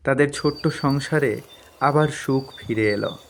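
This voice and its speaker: A man's voice: mid-range voice, unhurried, creaky, a little hiss or hum. A man narrating a scripted cartoon story as a storyteller.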